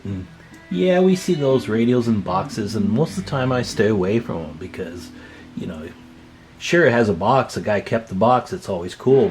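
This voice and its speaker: An older man in his sixties, plain-spoken and folksy, giving a casual, rambling hands-on demonstration as the host. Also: mid-range voice. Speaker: host, radio repair hobbyist.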